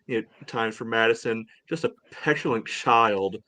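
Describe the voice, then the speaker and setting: A man's voice: mid-range voice, normal speed, bright, forceful tone, no echo. A man co-hosting a casual soccer podcast, blunt and sweary, often padding his sentences with "you know". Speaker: sports podcast co-host. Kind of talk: casual fan chat about soccer.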